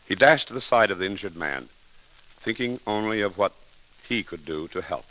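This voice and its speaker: A low-pitched man delivers a scripted 1930s radio eulogy as a story, formal and oratorical, phrase by deliberate phrase. The recording is vintage and crackly. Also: animated, pushed and bright. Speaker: speaker giving a tribute.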